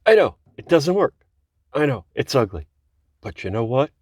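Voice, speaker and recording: A man's voice: medium pitch, very animated, moderate pace, husky, dry recording, clean studio sound. A man hosting a car hobby video, narrating his hands-on work casually, musing aloud and easily amazed. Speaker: host of a car hobby channel.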